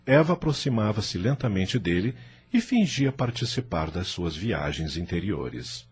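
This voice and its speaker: Very deep, husky Brazilian male narrator. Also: sing-song, unhurried. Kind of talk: scripted audio drama narration.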